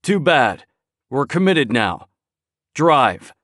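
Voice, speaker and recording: A man voicing a calm, dryly curious male detective partner who speaks in a clipped, matter-of-fact way. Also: mid-range voice, drawn-out words, dry recording, studio quality, pitched above the performer's own voice, heavily acted. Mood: cool. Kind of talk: audiobook character voice.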